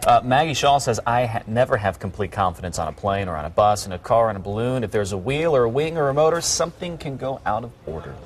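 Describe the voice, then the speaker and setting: A man's voice: medium pitch, fast, hardly any pauses. American man on news panel, noisy background. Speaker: morning TV news co-host. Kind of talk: casual on-air panel chat. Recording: clearly noisy background ambience.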